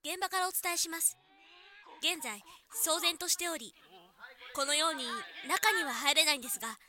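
A woman's voice: high voice, moderate pace, pushed and bright. A woman playing a field reporter in an audio drama, giving a formal, urgent and sensational live news report. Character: dramatic female field reporter at a crime scene.

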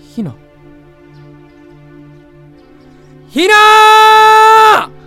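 A man's voice: very high voice, very animated, drawn-out words, bright, forceful tone, soft background music, heavily acted. A man playing a passionate, grief-stricken young student actor in an audio drama. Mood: anguished. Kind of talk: fan audio drama acting.

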